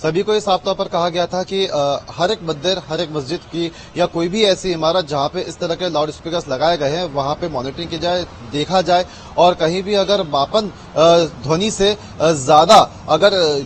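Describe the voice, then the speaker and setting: Guy reporter with high voice, hesitant live update, bit of noise behind. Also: moderate pace, few pauses, poor audio. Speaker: news correspondent. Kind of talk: unscripted live news analysis. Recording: live link with faint background noise.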